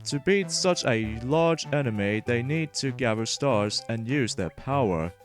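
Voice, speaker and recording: A man hosts a video game let's play in a mid-pitched voice. He is wordy and rambling, often stumbling over his words, with game music playing behind him. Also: expressive, measured pace. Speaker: host of a gaming let's play. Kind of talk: gameplay commentary and read-aloud narration.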